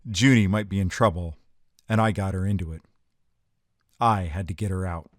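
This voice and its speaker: A man's voice: sits low, moderate pace, no echo. A man playing a hard-boiled, wisecracking detective in a noir audio drama, terse and sardonic. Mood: brooding.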